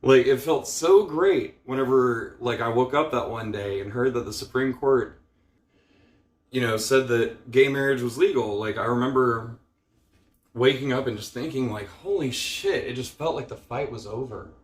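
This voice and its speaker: A young man vents in a loose, rambling way, leaning on fillers and casual swearing as he talks through his frustration. His voice sits at an ordinary middle pitch. The room around him is echoey and roomy. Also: normal speed. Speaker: vlogger. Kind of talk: casual personal vlog rant.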